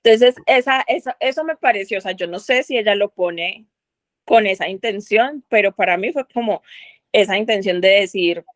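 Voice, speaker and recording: A woman's voice: mid-range voice, normal speed, no echo, studio quality. A woman in a book club, talking through her thoughts in a rambling way with many fillers and restarts. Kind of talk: casual group discussion.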